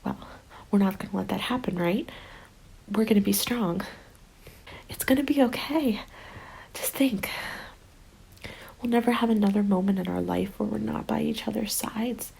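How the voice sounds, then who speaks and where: A woman playing a haunted, determined zombie apocalypse survivor in an ASMR roleplay, speaking in a soft, breathy half-whisper with an intimate, deliberate delivery. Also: medium pitch, full character voice, poor audio. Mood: tender, unsettled. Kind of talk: ASMR roleplay, dramatic monologue. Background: background music.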